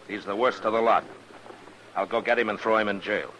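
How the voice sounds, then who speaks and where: A man's voice: on the low side, fairly even intonation, fairly quick, fairly bright tone, natural voice. An American actor playing a stern, fearless frontier lawman in a 1950s Western radio drama, terse and commanding, narrating his own story. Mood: grim, resolute. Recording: noisy old radio recording.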